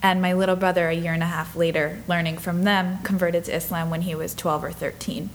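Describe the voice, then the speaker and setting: A woman in her twenties from Minnesota, telling her life story in an interview, earnest and reflective, sometimes dropping to soft breathy asides. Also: lowish voice, flat delivery, fairly quick, slight background noise. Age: 20s.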